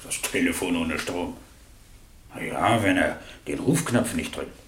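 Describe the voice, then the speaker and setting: An actor playing a plain-spoken railway crossing keeper giving blunt testimony in a German radio crime drama. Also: male voice, sits low, lively intonation, measured pace, a bit bright. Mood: indignant, puzzled. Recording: roomy, echoing radio drama studio.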